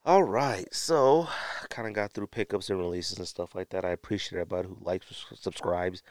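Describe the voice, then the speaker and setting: A middle-aged American man with a fairly low voice talks casually as a solo podcast host. His delivery is slangy and emphatic, circling back on his points. The sound is close and dry. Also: fairly quick, darkish tone, no echo. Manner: conversational, slangy, emphatic repetition.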